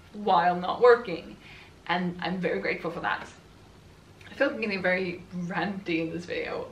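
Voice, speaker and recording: A woman's voice: fairly low pitch, fairly bright tone, slight background noise. Woman, twenties, Swedish accent, chatty, rambling, echoey room, mic at a distance. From Sweden. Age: 20s. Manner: chatty, rambling, laughs often. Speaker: book vlogger. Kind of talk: casual chat while assembling furniture. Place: room at home.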